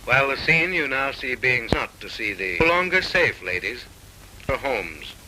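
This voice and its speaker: A man's voice: mid-range voice, animated, moderate pace, sharp tone, a little hiss or hum, low-quality recording. A man narrating a 1930s comic film with mock-serious, arch, theatrical delivery on an old film soundtrack.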